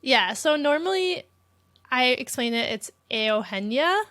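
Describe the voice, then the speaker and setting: A woman in her thirties, born in Spain and raised near Chicago, chatting as a guest, soft-spoken and laughing often. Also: sits high, expressive, unhurried, dry recording. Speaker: guest illustrator. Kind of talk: casual interview chat.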